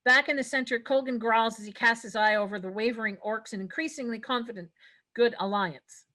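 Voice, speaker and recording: Woman, higher pitch, scripted narration, table read, reading stage directions aloud. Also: fairly quick, pushed and bright.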